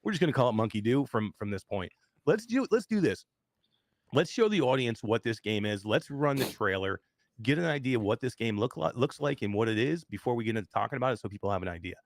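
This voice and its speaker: A man's voice: mid-range voice, lively intonation, fast, no room sound. A man hosting a live tech show, chatting casually, joking and laughing often. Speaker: show host. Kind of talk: casual livestream chat.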